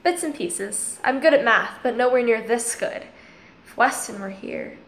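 A woman's voice: a little high, animated, a little slow, fairly bright tone. A woman playing a caring, curious teenage girl in an audio drama.

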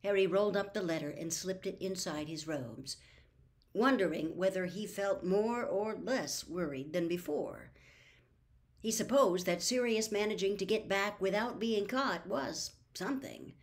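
An older woman in her sixties or beyond reading a storybook aloud with expressive, dramatic phrasing. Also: mid-range voice, unhurried. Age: 60s or older. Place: small book-lined room at home.

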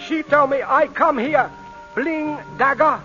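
An actor playing a frightened servant giving urgent warnings in clipped broken English, in a 1940s radio drama. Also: male voice, extremely high pitch, takes it slowly, pushed and bright, heavily acted. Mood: fearful, urgent. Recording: muffled vintage radio recording with music.

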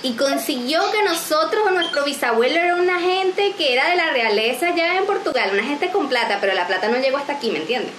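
A woman's voice: high-pitched, fairly quick, hardly any pauses, roomy sound, slight background noise. A Venezuelan woman in her twenties chatting animatedly and joking in a home vlog, filmed at arm's length indoors. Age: 20s. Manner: animated, joking, gestures a lot. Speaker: vlogger. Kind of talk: casual vlog chat. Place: small room at home, indoors.

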